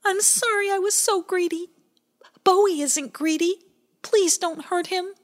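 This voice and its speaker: Woman doing Pie Jam, a squeaky small hero. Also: measured pace. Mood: pleading. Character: greedy little storybook hero, pleading and demanding.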